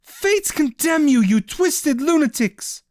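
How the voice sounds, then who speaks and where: A storyteller voicing a feverish young woman mage who speaks in a sharp, strained, defiant way. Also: high-pitched, big pitch swings, measured pace, no room sound, clean studio sound, pitched above the performer's own voice. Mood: outraged.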